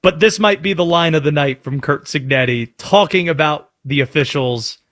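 A man with an American accent hosting sports talk in an emphatic, animated way, often asking rhetorical questions. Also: on the high side, normal speed. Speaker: sports talk show host.

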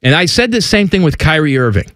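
A man's voice: a little high, very animated, quick pace, a bit bright, no echo, clean studio sound. A man hosting a casual sports talk show, mocking and sarcastic in tone.

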